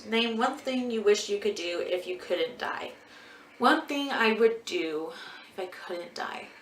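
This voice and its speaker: Young woman, 20, beauty vlogger, casual Q&A, lots of ums and likes, mid-pitched, echoey room. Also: expressive, a little slow, a little hiss or hum. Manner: rambling, full of ums and likes. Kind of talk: casual Q&A chat.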